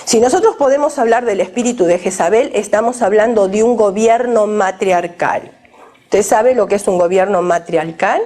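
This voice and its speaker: A woman's voice: mid-range voice, warm tone. A woman from Argentina, preaching a sermon to a women's gathering and questioning the audience directly.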